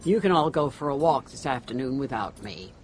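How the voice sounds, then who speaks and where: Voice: mid-range voice, expressive, brisk, slight background noise, close to an everyday voice. A performer playing a domineering old mother in a radio drama, imperious and snappish. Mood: dismissive, commanding.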